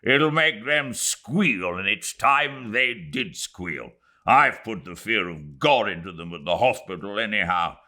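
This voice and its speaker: A man with a British accent playing a loud, crude, blustering older English army major. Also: lowish voice, expressive, a little slow, pitched above the performer's own voice. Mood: brutal, gloating.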